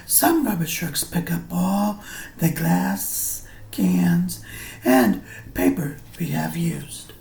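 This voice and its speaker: A man reading a picture book aloud as a teacher, explaining things simply and deliberately for young children. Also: on the high side, animated, creaky, darkish tone, slight background noise. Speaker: preschool or early grade teacher.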